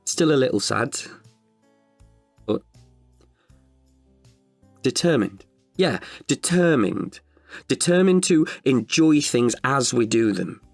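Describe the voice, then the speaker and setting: A man's voice: medium pitch, expressive, slow, vocal fry, long pauses, dry recording, faint music behind, heavily acted. A man in his thirties performing an intimate, chatty monologue as an older, wiser self tenderly addressing his past self. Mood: tender, determined. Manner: chatty, wry, heartfelt. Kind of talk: intimate confessional audio monologue.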